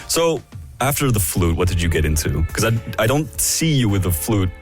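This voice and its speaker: Deep-voiced male host. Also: big pitch swings, moderate pace, soft background music. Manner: friendly interviewer, short agreeing replies. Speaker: radio co-host. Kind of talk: casual radio interview.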